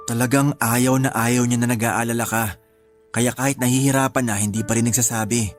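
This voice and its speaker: A man's voice: mid-range voice, steady tone, fairly quick, soft background music, close to an everyday voice. A man with a Filipino accent acting an apologetic, consoling friend in a radio drama, speaking earnestly. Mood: sympathetic. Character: apologetic, consoling male friend who sees spirits.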